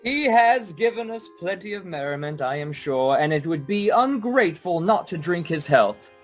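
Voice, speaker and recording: An actor playing a merry, good-natured nephew, cheerfully speechifying in a radio play. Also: male voice, high voice, animated, normal speed, faint music behind.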